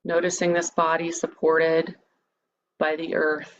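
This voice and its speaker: A woman leading a guided meditation in a soothing, measured, invitational manner. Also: low voice, completely flat delivery. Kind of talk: guided meditation, part improvised, part read lecture. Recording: dull, lo-fi sound with background noise.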